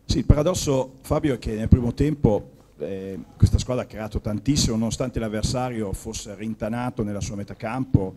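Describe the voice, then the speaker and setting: A man's voice: fairly low pitch, brisk, warm tone, a little hiss or hum. A man, a sports journalist, building long analytical questions at a press conference.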